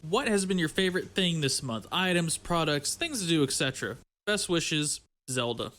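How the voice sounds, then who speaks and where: A man's voice: fairly high pitch, expressive, moderate pace, a bit bright. A man co-hosting a podcast, talking casually and slangily, with some swearing. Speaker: podcast co-host.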